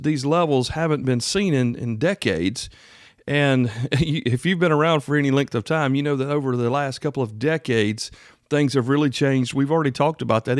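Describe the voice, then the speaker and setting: A man's voice: a little high, dry recording, clean studio sound. A man hosting a podcast solo, talking in a casual, folksy, rambling way full of asides. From South Carolina, United States. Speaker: podcast host. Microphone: close podcast microphone.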